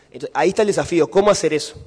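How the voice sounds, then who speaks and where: Man, Uruguayan Spanish accent, conference speaker, somewhat high voice, reverberant lecture hall. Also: very fast, darkish tone, slightly rough. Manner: explanatory, frequent fillers and rhetorical checks. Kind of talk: conference talk and Q&A answer.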